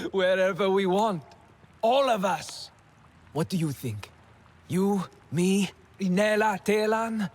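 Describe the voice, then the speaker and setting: An actor playing a restless, defiant young alien man in a video game, intense and wistful, with a husky voice. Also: high-pitched, animated, slow, exaggerated voice. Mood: defiant yearning. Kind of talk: video game cutscene acting.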